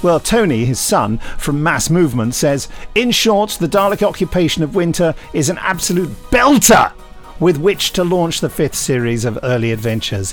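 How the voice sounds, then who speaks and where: A man co-hosting a podcast, reading reviews aloud with playful, theatrical jokes. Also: on the high side. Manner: playful, jokey, theatrical when reading quotes. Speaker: podcast co-host.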